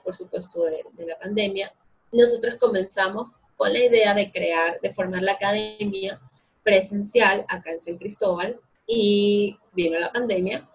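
Husky-voiced young woman. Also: medium pitch, rough recording. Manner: enthusiastic, fillers and restarts. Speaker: guest, co-founder of an online English academy. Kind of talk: conversational interview.

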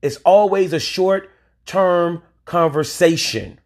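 A man's voice: high voice, slow pace. A man in his forties hosting a solo podcast, talking in an emphatic, opinionated way with occasional swearing. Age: 40s. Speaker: podcast host.

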